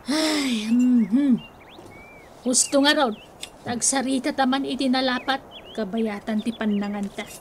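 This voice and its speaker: Actress as a high-voiced nagging Filipino mother. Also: unhurried, darkish tone, slight background noise. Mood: pleading, scolding. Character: long-suffering, nagging mother and wife. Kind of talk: Filipino radio comedy drama acting.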